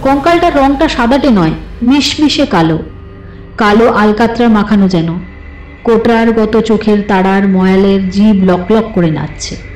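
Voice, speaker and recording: A woman reading a suspense story as a dramatic, scripted literary narration in an expressive, deliberate way. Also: medium pitch. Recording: slightly roomy, with music behind. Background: soft background music.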